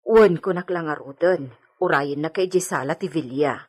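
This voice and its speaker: A woman's voice: sits low, moderate pace, darkish tone, slightly rough. A woman with an Ilocano accent playing a deferential, fretful household maid in a radio drama, given to muttering.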